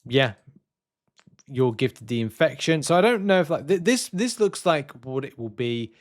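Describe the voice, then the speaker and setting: A man's voice: mid-range voice, big pitch swings, moderate pace. Man casually talking through gaming news. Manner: hesitant, rambling, thinking aloud. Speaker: gaming video host. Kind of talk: casual game news commentary, reading announcements and musing.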